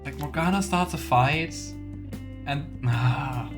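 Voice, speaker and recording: A woman's voice: a bass voice, slow pace. A young woman streaming a video game at home, chatting and giving opinions, with lots of fillers. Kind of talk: let's play commentary. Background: game music.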